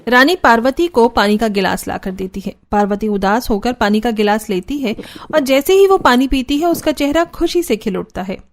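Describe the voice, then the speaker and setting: High-voiced woman narrating a cartoon story. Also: lively intonation, moderate pace, few pauses.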